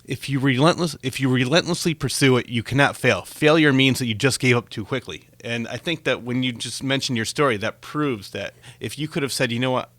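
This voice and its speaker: A man's voice: mid-range voice, a little fast, few pauses, fairly bright tone, no echo. A man hosting a conversational interview, speaking to his guest in an encouraging, complimentary way. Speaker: talk show host interviewing a guest.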